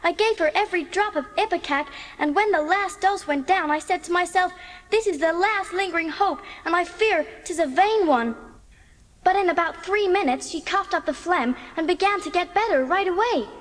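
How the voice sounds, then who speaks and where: A woman's voice: very high voice, normal speed, a bit bright. A woman playing an earnest, talkative eleven-year-old orphan girl with a grandiloquent, theatrical way of speaking in a dramatised audiobook. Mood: dramatic, relieved.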